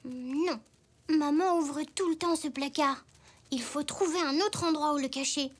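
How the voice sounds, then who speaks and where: A young performer voicing an eager, easily embarrassed little zebra boy in a French preschool cartoon, with an earnest childlike voice. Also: unhurried. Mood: anxious, scheming. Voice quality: high childlike voice. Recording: music playing behind the voice.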